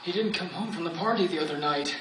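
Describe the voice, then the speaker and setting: A man's voice: fairly high pitch, quick pace, far from the mic, noisy background, natural voice. A man playing a chatty village farmer who stammers in puzzlement, in a live radio drama. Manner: gossipy, hesitant. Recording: echoey hall, mic from afar, music.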